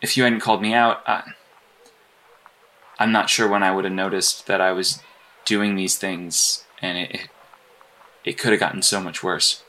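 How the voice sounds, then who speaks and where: A man playing an earnest, apologetic and socially awkward hero agent in a naturalistic audio drama, with halting, stammering speech. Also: low-pitched, steady tone, bright, forceful tone, rough recording. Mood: contrite, halting.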